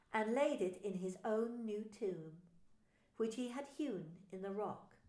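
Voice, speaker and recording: Woman reading scripture, echoey room. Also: a little high. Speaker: vicar. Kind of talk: dramatised Bible reading narration. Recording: roomy echo.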